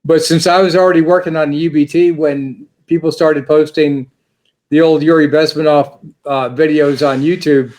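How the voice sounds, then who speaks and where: Rambling man with a higher voice. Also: fairly even intonation, normal speed, a little mellow. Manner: rambling, repeats words, many restarts. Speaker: guest. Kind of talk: casual interview chat.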